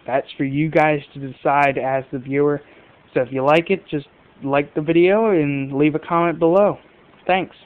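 A man's voice: medium pitch, clear, resonant tone. Man on a phone-like amateur recording. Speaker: fishing video host. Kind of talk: casual unscripted product comparison. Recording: muffled phone-like amateur camera audio.

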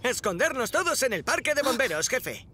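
A man's voice: very high voice, big pitch swings, fairly quick, sharp tone, exaggerated voice. A man voicing a goofy, timid young firefighter in a children's cartoon, jumpy and eager. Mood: eager, nervous. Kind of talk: dubbed children's cartoon acting.

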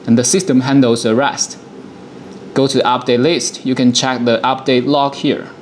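A man in his thirties presenting a software demonstration, explaining things step by step in a dialogue. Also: mid-range voice, level tone, moderate pace, a little hiss or hum. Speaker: product presenter.

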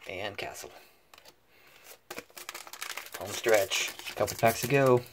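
A man's voice: lowish voice, slow pace, noisy background. A man giving casual unboxing commentary in short, muttered, fragmentary remarks. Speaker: card pack opening host. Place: indoors at a table covered with cards.

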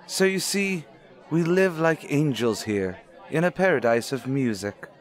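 A man's voice: fairly high pitch, animated, a little slow, a faint crowd. A man playing a courtly, cultured rich widower, formal and suave, in an old-time radio drama. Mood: rapturous, genial.

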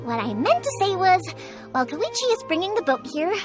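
Give this game heroine a very high, animated voice. She is a bubbly young fireworks maker who rambles eagerly and warmly. Clear music plays behind her. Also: brisk. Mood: chatty. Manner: talkative, rambling, expressive. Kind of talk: video game character acting. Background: game background music.

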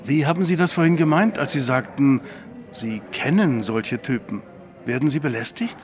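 An actor playing a flustered, persistent suitor of about fifty in an audio drama, speaking haltingly and earnestly. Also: male voice, medium pitch, expressive, close to an everyday voice. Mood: earnest, concerned. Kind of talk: German audio drama acting.

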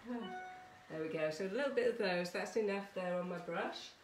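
A woman's voice: medium pitch, normal speed, music in the background. A middle-aged woman giving a casual art demonstration for children, chatty and encouraging, often checking in with her listeners. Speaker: artist leading a children's art workshop. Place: small conservatory-style home studio. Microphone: camera or phone a short distance away. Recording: roomy, distant mic, some background noise.

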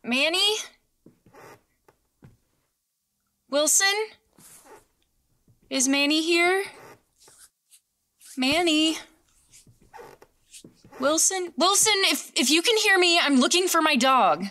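A woman's voice: high-pitched, expressive, pushed and bright, heavily acted. A woman acting in a horror audio drama as a frightened, hesitant woman, with music under her voice. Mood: calling out, anxious. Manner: halting, hesitant delivery. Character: frightened woman recounting a disturbing experience, searching for her dog. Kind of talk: horror audio drama, narration and acted scenes.

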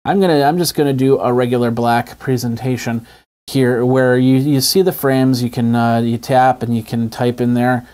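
A man's voice: mid-range voice, steady tone, normal speed, darkish tone. A man hosting an app tutorial in a casual, conversational, step-by-step way. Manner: conversational, informal, explains step by step. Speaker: host of an app review web show. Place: small indoor home studio. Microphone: lapel mic.

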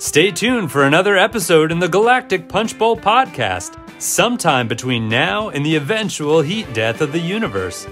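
A man's voice: a little high, big pitch swings, normal speed, few pauses, a bit bright. A man reading the end credits of a podcast drama in a playful sign-off. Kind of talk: scripted credits read-out. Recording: faint guitar music behind the voice. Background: faint guitar music.

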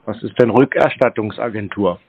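A man taking scam calls with dry, teasing deadpan replies. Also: lowish voice, moderate pace, breathy edge, no room sound. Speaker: scam-baiting call recipient. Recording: tinny phone line.

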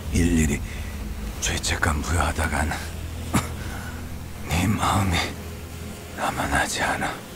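A man voicing a weary, wry, battered survivor in a video game, in a halting, breathy mutter. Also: very deep voice, in a whisper. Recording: clear noisy ambience behind the voice.

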